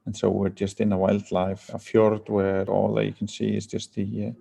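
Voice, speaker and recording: A man from Iceland answering interview questions as a company founder, speaking haltingly with many fillers and restarts. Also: on the low side, monotone, vocal fry, dark tone, breathy edge.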